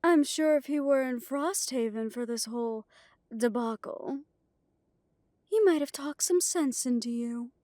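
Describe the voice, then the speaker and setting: A woman playing an imperious, condescending vampire coven leader in an audio drama, with a measured, haughty delivery. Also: high-pitched, mellow, no echo, studio quality. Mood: smooth, chiding. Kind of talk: audio roleplay drama acting.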